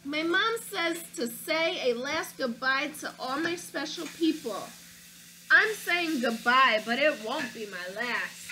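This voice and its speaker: A woman teacher reading a picture book aloud to children, with an expressive storytelling delivery, stopping to ask her young listeners questions. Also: high voice, takes it slowly, a little hiss or hum, low-quality recording.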